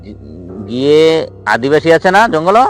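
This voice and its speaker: A man's voice: fairly high pitch, lively intonation, normal speed, faint music behind, natural voice. A man playing an earnest, reassuring villager in an improvised comedy skit, repeating himself and constantly checking for agreement. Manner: repetitive, constantly seeking agreement. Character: earnest villager guide organizing a jinn hunt, reassuring.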